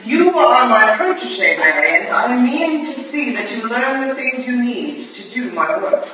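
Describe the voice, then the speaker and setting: A woman's voice: on the high side, very animated, a little slow, sharp tone, a little hiss or hum, full character voice. A woman playing a stern, proud, exacting Victorian lady mentor in a staged play reading, clipped and formal, recorded in an echoey hall from a distance. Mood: stern, assured.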